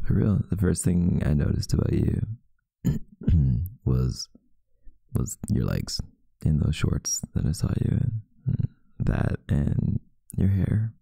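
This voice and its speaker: A man playing a sleepy, teasing, affectionate boyfriend in an intimate ASMR roleplay, murmuring drowsily with husky breath and hesitant pauses, close to the mic. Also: deep voice, sing-song, mellow, no echo, full character voice. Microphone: close mic, dry.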